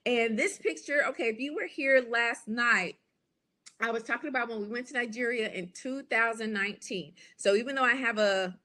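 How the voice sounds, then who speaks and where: Brief: American woman in her 40s, a coach talking to an audience, lively and conversational, voice a little high. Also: normal speed. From United States. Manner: lively and chatty, with frequent "okay?" and "y'all" asides. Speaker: business coach. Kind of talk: casual live presentation.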